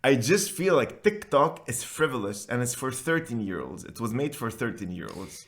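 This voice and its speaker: A man's voice: medium pitch, sing-song, brisk. A man with a Lebanese accent co-hosting a podcast in blunt, joking casual chat. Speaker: podcast co-host.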